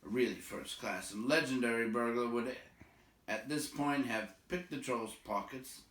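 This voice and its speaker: A man reading a storybook aloud as an expressive narrator. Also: medium pitch, normal speed, reverberant, far from the mic. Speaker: storybook reader.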